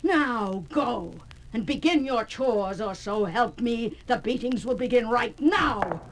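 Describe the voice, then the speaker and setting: An actress playing a dramatic woman in a gothic horror tale, speaking theatrically and deliberately in a 1970s audio drama. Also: on the high side, animated, a little hiss or hum, low-quality recording. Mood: harsh, menacing.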